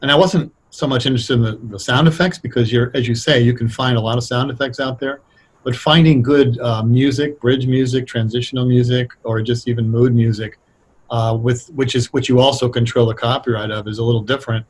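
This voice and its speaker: Guy with a husky voice, chatting in an interview as a writer, kinda halting and thoughtful. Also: mid-range voice, steady tone. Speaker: radio drama writer, interview guest. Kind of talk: casual explanatory interview talk.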